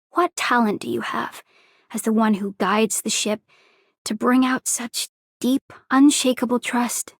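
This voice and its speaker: A poised, curious female seafarer for a video game. Her slightly raised voice has a breathy husk, and she probes deliberately. The sound is dry and close. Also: fairly high pitch, no room sound. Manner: probing, deliberate. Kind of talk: video game character voice lines.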